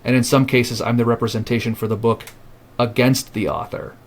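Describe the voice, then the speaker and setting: A man in a podcast interview speaks in an earnest, reflective way, his voice sitting at an ordinary middle pitch. He often doubles back and restarts a phrase while he builds toward his point. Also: fairly even intonation, fairly quick. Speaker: publishing house founder, podcast guest.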